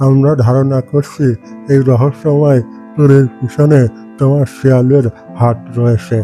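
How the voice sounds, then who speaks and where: A man's voice: mid-range voice, little pitch movement, measured pace, warm tone, soft background music, lightly acted. A man playing a distrustful village man in a cartoon, insistent and accusing. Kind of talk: cartoon character acting.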